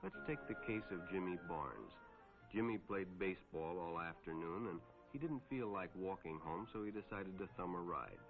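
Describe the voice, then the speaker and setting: An actor playing an earnest police lieutenant, narrating a cautionary 1950s educational film in a measured, instructive way on a dull old soundtrack. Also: male voice, low-pitched, expressive, music in the background.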